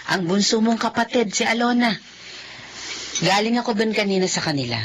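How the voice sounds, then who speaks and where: An actress playing an elderly mother and grandmother in an audio drama, chatty and quick to exclaim. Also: a little high, a little hiss or hum, natural voice. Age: older. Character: caring elderly mother and grandmother, fussy but warm. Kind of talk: Filipino radio-style audio drama.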